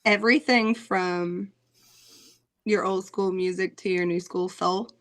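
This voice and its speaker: A woman's voice: mid-range voice, fairly even intonation. Laughing, chatty woman singer. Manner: chatty, laughs often, many "um" pauses. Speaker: singer, interview guest. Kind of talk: casual interview chat.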